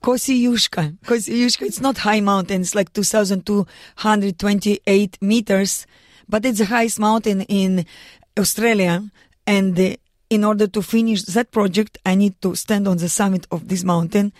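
Russian-accented woman guest, earnest, halting English, mid-pitched, dry studio sound. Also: steady tone, darkish tone, dry recording. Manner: earnest, halting non-native English with restarts. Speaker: guest, mountaineer and lawyer. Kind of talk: radio studio interview. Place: radio studio.

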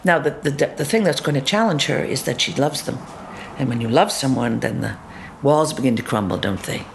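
A woman actor chats through an interview in a lively way. She swings from loud, emphatic asides to hushed, half-voiced murmurs. She keeps tossing in tag questions like "doesn't it?". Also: a bass voice, brisk, gravelly, a little hiss or hum. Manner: animated, shifting between loud asides and soft murmurs. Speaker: actor interviewed about her role. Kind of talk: conversational interview.

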